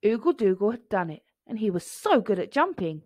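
Animated woman reading a children's story. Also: mid-range voice, fairly quick, no echo, studio quality.

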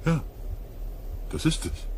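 An actor playing a skeptical, matter-of-fact expedition member in a sci-fi radio play. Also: male voice, slow pace, a little mellow, a little hiss or hum, close to an everyday voice.

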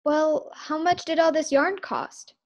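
Woman playing high-voiced naive housemaid. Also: fairly even intonation, slow. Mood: wry. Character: naive, wry, loyal housemaid Katie. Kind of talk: 1940s radio sitcom acting.

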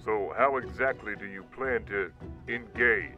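A man's voice: on the low side, a little slow, dull audio, faint music behind, natural voice. A man playing a loyal vigilante teammate in an audio drama, wry and grumbling. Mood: serious. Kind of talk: naturalistic audio drama acting.

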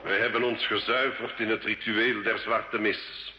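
A male actor playing a cunning, scornful sorcerer and alchemist, solemn, measured and theatrical, in an old radio drama. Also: fairly low pitch, little pitch movement, natural voice. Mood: ritual incantation. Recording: dull, echoey, hissy old radio recording.

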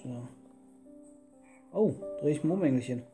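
A man's voice: medium pitch, lively intonation, unhurried, mellow. Easygoing man chatting over gameplay. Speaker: let's player, co-host. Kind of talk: casual gameplay commentary. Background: music.

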